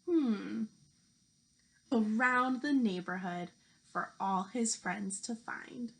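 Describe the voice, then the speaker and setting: A woman teacher reading a children's picture book aloud, speaking deliberately to young listeners. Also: a little high, creaky, fairly bright tone. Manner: slow, deliberate delivery addressed to young children. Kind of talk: children's storybook read-aloud.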